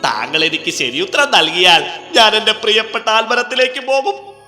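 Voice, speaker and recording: A cackling, mischievous vetala spirit of a banyan tree, teasing a king in a dubbed cartoon. The voice sits high and swings wildly, with a piercing, bright edge. It rings out in a long, echoey reverb over music. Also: expressive, normal speed, exaggerated voice. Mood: challenging. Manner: cackling, teasing, theatrical storyteller.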